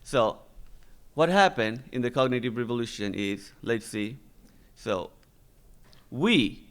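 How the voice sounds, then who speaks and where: A man from Nepal giving a conference lecture in English, speaking slowly and deliberately with frequent fillers and tag questions. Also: medium pitch, fairly bright tone. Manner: slow and deliberate, frequent "uh" and "right?". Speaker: researcher giving a talk. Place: conference hall.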